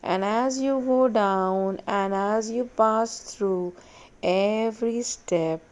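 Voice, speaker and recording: A woman guides a meditation at an extremely slow pace, in a medium-pitched voice. She gives short, repetitive instructions in a hypnotic, measured style. Long pauses separate her phrases. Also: clear, resonant tone.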